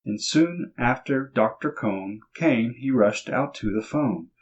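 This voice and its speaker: Man, expressive picture-book reader, slow pace, slightly husky voice, dull lo-fi sound. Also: mid-range voice. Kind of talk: children's picture book read-aloud.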